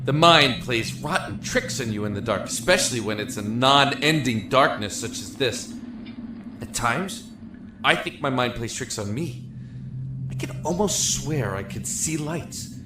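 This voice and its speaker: An actor playing a lonely, emotional young man in a prison cell in an audio drama, talkative and halting. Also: medium pitch, lively intonation, background noise, lightly acted. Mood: relieved, musing. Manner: halting, rambling, effusive. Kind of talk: audio drama acting with first-person narration. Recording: echoey room with music behind.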